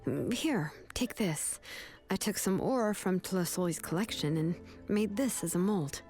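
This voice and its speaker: A woman playing a calm, caring, easygoing young craftswoman in a video game, gentle and reassuring. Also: mid-range voice, unhurried, close to an everyday voice. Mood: comforting. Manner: gentle, laid-back. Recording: music under the voice.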